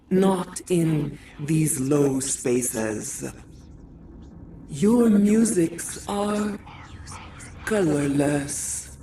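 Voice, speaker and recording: A woman playing a solemn alien insect queen who speaks through a dying host in a video game, measured, halting and breathy. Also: low voice, dark tone, distant mic, a little hiss or hum, full character voice. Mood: solemn, eerie. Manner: otherworldly, measured, poetic, halting. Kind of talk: dramatic video game acting.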